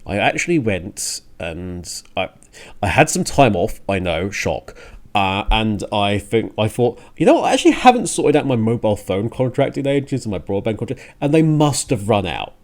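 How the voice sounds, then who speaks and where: Male radio host, lower-pitched voice, very lively intonation, casual rambling delivery, hesitant and digressive. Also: normal speed. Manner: hesitant, digressive, thinking aloud. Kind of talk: casual, rambling music show presenting.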